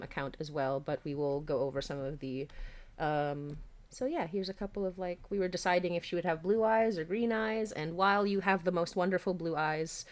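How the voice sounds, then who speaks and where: A woman's voice: lowish voice, a little mellow. A woman hosting a casual livestream, chatting in a bubbly, giggly, rambling way. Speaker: livestream host.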